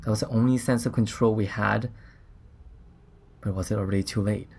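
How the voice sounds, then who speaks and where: An actor playing an earnest, shaken scientist on a Mars mission, recounting events in a halting, reflective way as in a documentary interview. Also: male voice, on the low side, steady tone, gravelly, a little mellow.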